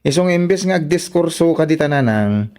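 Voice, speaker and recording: A man's voice: on the high side, expressive, normal speed, darkish tone, natural voice. A man with an Ilocano accent playing a brash, drunken young troublemaker, slurred and defiant, in a radio drama. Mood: gleeful.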